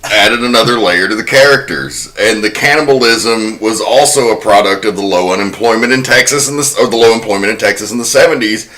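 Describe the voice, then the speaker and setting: A man's voice: fairly low pitch, fairly quick, hardly any pauses, a bit bright, low-quality recording. A man hosting a podcast, casually explaining film history in an informal, sometimes profane way. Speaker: podcast host.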